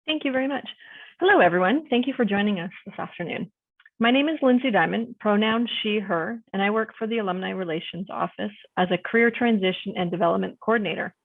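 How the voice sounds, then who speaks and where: A woman working as an alumni career coordinator giving polite, measured welcome remarks from a prepared script on a video call. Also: medium pitch, no echo. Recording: dry, tinny phone-like line.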